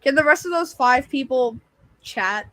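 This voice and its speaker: A teenage boy chatting on a livestream, eager and quick to jump in with questions and complaints. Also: extremely high pitch, expressive, fairly bright tone, poor audio. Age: teen. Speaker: livestream co-host. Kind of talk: casual livestream chat.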